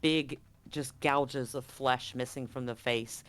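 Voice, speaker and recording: A game master narrating a tabletop horror game in a dramatic, suspenseful way, with deliberate pauses. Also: mid-range voice, steady tone, fairly bright tone.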